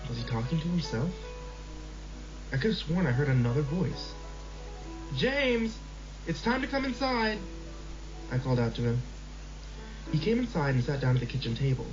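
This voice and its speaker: A man telling a horror story in measured first-person narration, over a slightly dull recording with background music. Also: medium pitch. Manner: measured, slow in tense passages.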